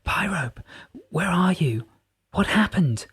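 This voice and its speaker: A man voicing a curious, startled young woman who asks high, eager questions. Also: lively intonation, slow, fairly bright tone, slightly rough, no room sound, studio quality, full character voice. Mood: anxious.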